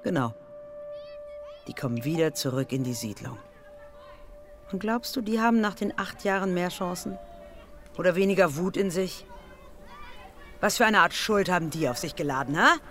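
A woman's voice: fairly low pitch, animated, moderate pace, long pauses, fairly bright tone, faint music behind. An actress playing a blunt, scornful woman in a radio drama, confrontational and mocking in a colloquial way. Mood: grim, bitter. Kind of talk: German radio drama dialogue.

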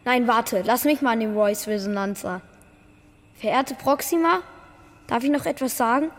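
Woman acting as eager, high-voiced young boy. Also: normal speed, natural voice. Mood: pleading, earnest. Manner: eager, earnest, youthful slang. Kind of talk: radio play acting. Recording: reverberant hall, music behind.

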